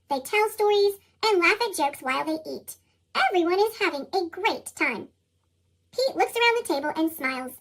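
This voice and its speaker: An American woman reading a children's storybook expressively, her voice processed into a chipmunk effect. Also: a bit bright. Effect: sped-up chipmunk voice, pitched very high. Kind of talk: expressive scripted read-aloud narration for young children.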